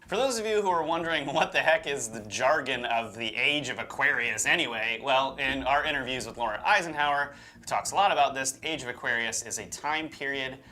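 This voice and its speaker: A man's voice: medium pitch, animated, a little fast, pushed and bright, low-quality recording. A man co-hosting a show, explaining things in an informal, semi-scripted conversational style. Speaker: co-host.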